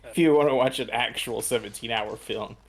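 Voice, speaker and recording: A man chatting casually with friends, asking slow, musing questions. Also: medium pitch, husky. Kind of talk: casual chat among friends.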